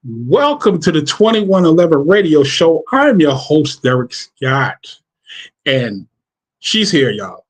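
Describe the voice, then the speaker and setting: A man's voice: on the high side, sing-song, slow. A man from New York hosting a radio show, chatting with a guest in a hyped, enthusiastic way. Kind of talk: casual interview chat.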